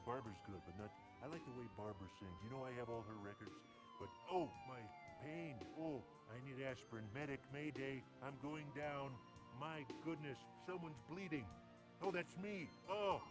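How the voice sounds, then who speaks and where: An actor playing a pompous, rambling, theatrical villain in a campy video game cutscene. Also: male voice, mid-range voice, close to an everyday voice. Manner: wordy, theatrical, self-pitying. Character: pompous, rambling, melodramatic male arch-villain. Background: loud music.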